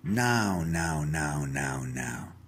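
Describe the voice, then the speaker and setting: A man's voice: a bass voice, expressive, drawn-out words, fairly bright tone, husky, exaggerated voice. A man voicing a fussing, exasperated mother in a children's storybook. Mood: soothing. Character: fussing, exasperated mother scolding her young son. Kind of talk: children's storybook read-aloud.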